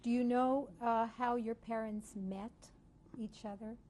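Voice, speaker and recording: A woman conducting an oral history interview, asking measured, formal questions. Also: on the high side, steady tone, warm tone.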